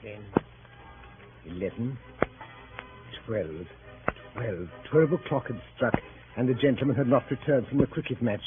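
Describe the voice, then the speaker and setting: An actor as a gentleman narrator, telling a story in formal 1930s radio-drama style. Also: medium pitch, measured pace, long pauses, loud music behind the voice, close to an everyday voice. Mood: measured. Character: gentleman narrator recounting country events. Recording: tinny 1930s radio recording.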